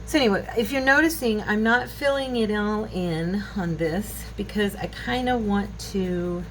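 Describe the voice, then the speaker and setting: A woman's voice: mid-range voice, moderate pace, a bit bright. A woman in her fifties chatting casually through a craft tutorial, rambling and musing aloud as she works indoors. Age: 50s. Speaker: craft channel host. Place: indoor craft table at home. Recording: clearly noisy home recording.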